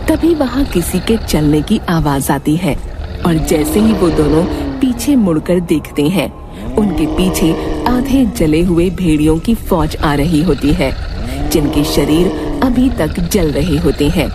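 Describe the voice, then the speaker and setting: Unhurried, expressive woman narrating a tale. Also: low voice. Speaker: story narrator. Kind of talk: dramatic scripted narration of a children's cartoon tale. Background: music.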